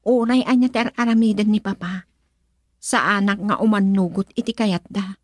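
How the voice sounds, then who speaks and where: A female actor with a Filipino accent and a breathy voice, playing a guarded, dutiful young woman in a romantic radio drama. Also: mid-range voice, steady tone, moderate pace, dry recording, clean studio sound, lightly acted. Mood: guarded, gentle.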